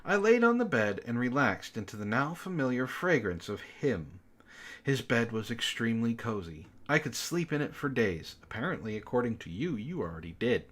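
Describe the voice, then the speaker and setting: A man with a mid-pitched, very lively voice reads fiction aloud as a book club co-host. His delivery swings expressively up and down, and he drops in sarcastic, exasperated asides. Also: normal speed. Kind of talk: expressive reading of amateur fiction with mocking asides.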